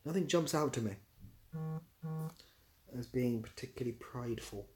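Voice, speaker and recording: A man's voice: medium pitch, moderate pace, over music. A British-accented man chatting casually as a livestream host, answering viewers in a dry, easygoing, self-deprecating way. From Britain.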